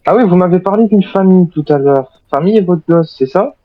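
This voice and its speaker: Man playing a zombie-outbreak soldier, slightly high voice, formal and hesitant, wary yet cooperative, game roleplay, muffled lo-fi chat audio. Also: brisk, close to an everyday voice. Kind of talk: improvised in-character game roleplay.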